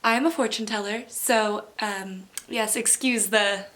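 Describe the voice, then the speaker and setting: A woman chatting casually to camera as a book vlogger, gushing and excitable, repeating words for emphasis. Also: medium pitch, unhurried. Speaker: book vlogger, channel host.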